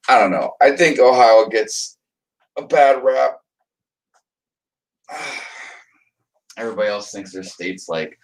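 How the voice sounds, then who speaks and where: Deep-voiced casual man chatting with viewers. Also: fairly bright tone, low-quality recording. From United States. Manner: rambling, chuckling, talks to viewers by name. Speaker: livestream host reading chat. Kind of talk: casual chat.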